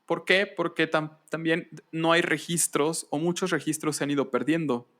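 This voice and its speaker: A man hosting a podcast, explaining history conversationally in a deliberate way, with pauses and rhetorical questions. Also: a little high, steady tone, a bit bright. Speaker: podcast host.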